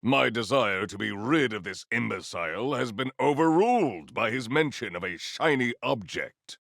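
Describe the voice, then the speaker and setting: Game warrior-poet: battle-eager, lofty formal diction, gravelly edge, very dry close sound. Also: male voice, medium pitch, expressive, moderate pace, fairly bright tone, no echo. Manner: grandiose, formal, theatrical diction. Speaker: video game voice actor. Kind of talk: scripted game character lines.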